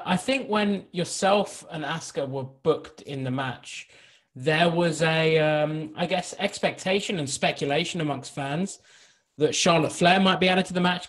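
A man asks questions as a reporter. His voice is a bit higher than most men's, and he is polite and somewhat hesitant. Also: a bit bright. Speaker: wrestling journalist asking questions. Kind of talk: press-call questioning.

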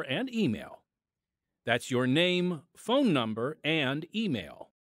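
A man hosting and narrating a scripted radio program with deliberate, dramatic phrasing. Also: mid-range voice, fairly bright tone, no echo, studio quality. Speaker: podcast host and announcer. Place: studio voice-over. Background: music.

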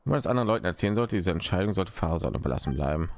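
A man's voice: low-pitched, expressive, very fast, a little mellow. A man giving casual live commentary as he streams a game.

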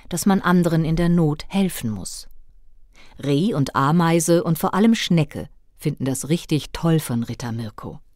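A woman narrating a scripted children's story in an expressive storytelling manner. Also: low voice, dry recording, clean studio sound.

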